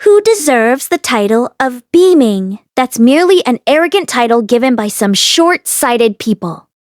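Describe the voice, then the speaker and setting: Woman reading an ancient demon lord, calm and gentle, soft spoken, fantasy audiobook. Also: fairly high pitch, moderate pace, no room sound, clean studio sound. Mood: amused, soft.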